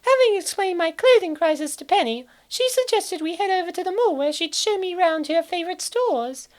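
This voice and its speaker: A woman acting naive college girl, very high voice, lively swings, theatrical comic-dub delivery. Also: normal speed. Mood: reflective. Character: cheerful naive college woman narrating her weight gain.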